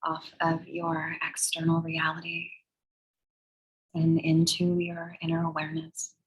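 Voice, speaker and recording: A woman leading a guided meditation in a soothing, measured, breathy way, leaving long pauses between phrases. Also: low voice, monotone, rough recording. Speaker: guided meditation and yoga teacher.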